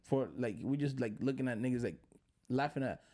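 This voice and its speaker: A man's voice: mid-range voice, brisk, warm tone. A man from the Dominican Republic hosting a podcast, chatting casually with loose, profane, joking talk. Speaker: podcast host. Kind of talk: casual chat.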